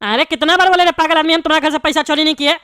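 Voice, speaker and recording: Cartoon comedy role: scheming, hot-tempered prisoner dad played in a womanlike voice, Bihari-style Hindi, rapid-fire and high, over clear noise. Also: fairly even intonation, shouting, natural voice. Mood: irritated. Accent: eastern Indian (Bihari-style) Hindi. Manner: hot-tempered, scolding, bossy, colloquial. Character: angry scheming jailed father, bald cartoon character. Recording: clearly noisy background.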